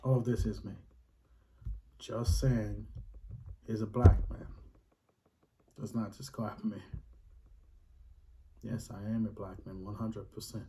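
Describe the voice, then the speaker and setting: A man's voice: on the low side, flat delivery, takes it slowly, pauses often, heavy background noise. A man with a Haitian accent, earnestly explaining his faith in casual talk, restating his points for emphasis.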